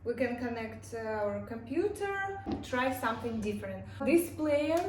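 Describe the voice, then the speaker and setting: A non-native English-speaking woman giving a casual unboxing and product review in short, halting phrases. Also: fairly high pitch, animated. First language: not English. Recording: echoey room, distant mic, faint noise.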